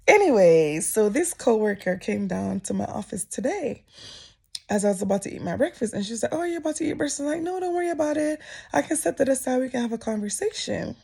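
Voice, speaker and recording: Animated Jamaican woman with gravelly voice. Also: a little high, a little fast. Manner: animated storyteller, voice jumping up when quoting people. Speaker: vlogger. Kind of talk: casual storytime chat to camera. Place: office.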